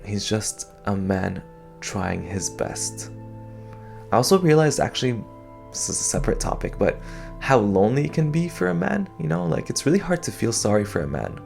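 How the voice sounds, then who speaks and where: Young man, low voice, gravelly fry, reflective monologue, soft guitar music behind. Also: very animated, moderate pace. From Canada. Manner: thoughtful, hesitant, many fillers and restarts. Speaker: podcast host.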